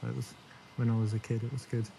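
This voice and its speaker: A man's voice: medium pitch, little pitch movement, fast talker, gravelly, dark tone, a little hiss or hum, close to an everyday voice. A man in his thirties with a London accent, playing a friendly guy chatting up a woman in a café, a bit rambling. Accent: London English. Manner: easygoing, chatty, a little rambling. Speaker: player character in a dating game. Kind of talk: filmed game scene, casual chat. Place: café interior.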